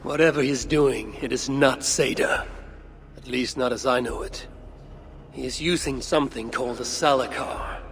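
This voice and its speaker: An actor playing a shrewd, gruff Norse god in a game cutscene, speaking in a deliberate, sardonic, investigative manner. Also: male voice, mid-range voice, fairly bright tone, close to an everyday voice. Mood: probing, wry. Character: shrewd Norse god searching for his son, gruff and resolute. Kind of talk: dramatic game cutscene acting.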